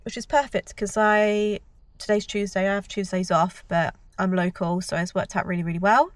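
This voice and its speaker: A woman's voice: mid-range voice, fairly even intonation, normal speed, no echo. A woman with a blocked-up cold, chatting casually to her camera from her car about her work as a nail tech, rambling with lots of ums and self-corrections. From United Kingdom. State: congested with a cold. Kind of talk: casual vlog chat to camera. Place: inside a parked car. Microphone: handheld camera.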